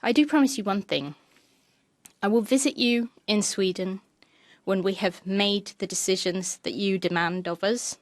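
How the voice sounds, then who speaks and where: A woman giving live interpretation of a parliamentary committee speech, in a steady, measured delivery. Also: mid-range voice, no echo. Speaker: simultaneous interpreter.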